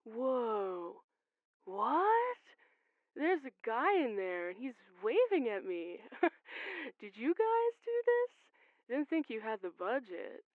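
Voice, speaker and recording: A woman playing a goofy, stoned teenage boy phoning a radio show in an audio drama, loose, slangy and excitable. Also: on the high side, slow, dry recording, phone-quality sound. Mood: goofy, uneasy.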